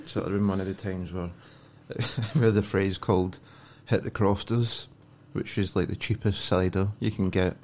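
A man's voice: sits low, steady tone, normal speed, few pauses, a little mellow, slight background noise. A young man with a Glaswegian accent, a comedian telling blunt, sweary, wry stories in a casual podcast chat. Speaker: comedian guest.

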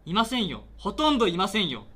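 A man's voice: high-pitched, wildly swooping pitch, fast, fairly bright tone, husky, exaggerated voice. A man playing an earnest, indignant railway station attendant in a radio drama, speaking in polite but insistent, reproachful sentences. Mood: impassioned anger. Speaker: actor in an amateur radio drama. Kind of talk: naturalistic radio drama dialogue.

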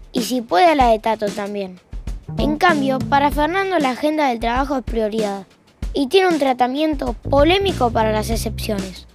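A high-pitched female storyteller with an Argentine accent narrates an audio drama. She is wry and conversational, as if sharing domestic gossip. Music plays clearly behind her voice. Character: wry all-knowing female narrator of a married couple's squabbles. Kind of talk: scripted fiction podcast narration.

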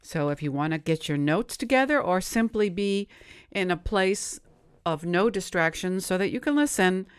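A woman hosting a live-streamed devotional show, speaking in a gentle, unhurried way as she teaches and reads aloud. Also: lowish voice, animated, clear, resonant tone. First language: English. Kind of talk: slow, deliberate teaching and reading aloud.

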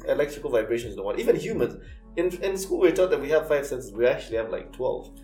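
Fast-talking Kenyan man, roomy sound. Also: mid-range voice, sing-song, dark tone, soft background music. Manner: quick, jokey, jumps between ideas. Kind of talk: casual podcast chat.

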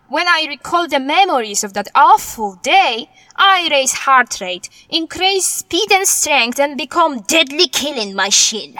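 High, bright, brash superheroine played by a woman. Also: dry recording. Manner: blunt, dismissive, drops articles in foreign-sounding broken English. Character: brash, bossy female cat-themed superhero with tragic backstory. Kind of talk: comedic audio drama superhero parody.

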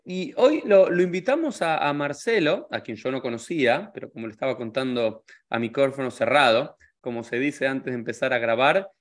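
A man's voice: medium pitch, a bit bright. A man hosting an interview podcast, friendly, talkative and candid. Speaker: podcast host.

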